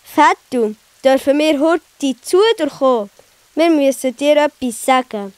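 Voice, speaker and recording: High-pitched earnest little boy played by a woman. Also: unhurried, darkish tone, a little hiss or hum. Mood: sheepish. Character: Hops, young boy child character, conscientious and encouraging. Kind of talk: children's audio play acting.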